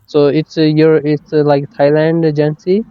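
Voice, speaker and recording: A man's voice: on the high side, level tone, moderate pace, clean tone, no room sound, dull audio. A man from India with an Indian accent, hosting a casual English conversation and asking friendly, encouraging questions. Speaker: host, interviewer.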